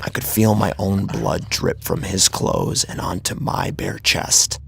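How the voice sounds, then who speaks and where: Male narrator, very low pitch, husky, grave and intense, dramatic horror storytelling. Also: a little slow, fairly bright tone.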